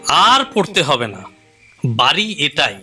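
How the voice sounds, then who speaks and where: A man's voice: a little high, very animated, slow, pushed and bright, faint music behind, exaggerated voice. A man playing an eager, insistent young friend in an audio drama, chatty and urging. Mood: impatient. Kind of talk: Bengali audio drama acting.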